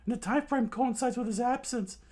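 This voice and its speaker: A man voicing a panicked young male palace messenger who talks breathlessly in a strained high voice. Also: a little mellow. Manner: breathless, high-pitched and hurried.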